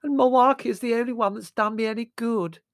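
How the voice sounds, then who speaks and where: A man voicing a credulous, dramatic young daughter who speaks in earnest, emphatic drawn-out words. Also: sits high, steady tone, dry recording, clean studio sound, voice pushed up. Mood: angry, wailing.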